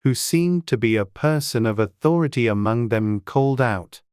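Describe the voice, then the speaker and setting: A man narrating a classic children's story in a gentle, even storytelling manner. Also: mid-range voice, moderate pace, clear, resonant tone, no echo, studio quality.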